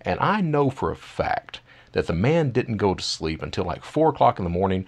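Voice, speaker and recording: A man's voice: on the low side, big pitch swings, moderate pace. A man chatting casually on a podcast, telling anecdotes in an informal, colloquial way. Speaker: podcast co-host or guest, conference speaker. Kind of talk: casual conversation.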